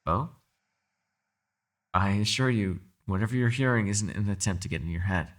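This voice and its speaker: A captive male yokai played by a man speaks straight to the listener in an ASMR roleplay. His voice is fairly low yet bright and forceful. He is proud, gentlemanly and protective, making his demands in articulate, courteous phrases. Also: moderate pace, lightly acted. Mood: earnest, softer. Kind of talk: ASMR audio roleplay, dramatic character acting.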